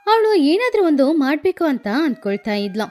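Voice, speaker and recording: A woman's voice: high-pitched, sing-song, normal speed, a little mellow. A woman reading scripted narration for a children's story. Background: background music.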